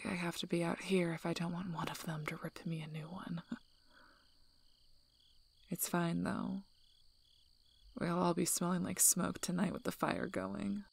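A young female werewolf alpha, played by a woman, speaks slowly and softly in an ASMR roleplay. Her voice is breathy and husky with plenty of fry. She is guarded and gruff but caring, halting often as she talks. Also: on the low side, heavily acted. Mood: wry, confiding. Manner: hesitant, halting, understated. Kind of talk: soft-spoken ASMR roleplay.

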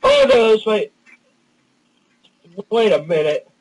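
A young man co-playing a console game with his friend, quick and directing, exclaiming at finds, heard from off-mic in the room. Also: high voice, huge pitch swings, a little mellow, breathy edge, recorded from a distance, rough recording. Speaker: co-player. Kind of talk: casual gaming chat.

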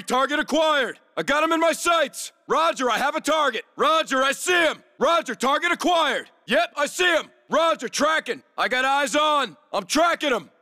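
Tense, clipped special forces soldier. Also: male voice, very high voice, bright, forceful tone, heavily acted. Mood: shouting, urgent. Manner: terse, clipped, commanding. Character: tough, disciplined male special forces soldier in combat. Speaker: video game character voice actor. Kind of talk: military shooter battle chatter, short clipped callouts.

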